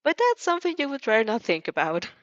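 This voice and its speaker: A woman's voice: fairly high pitch, wildly swooping pitch, fast, no room sound, muffled recording. A woman reading a fan fiction story aloud with casual asides, giggly and often stumbling over her words.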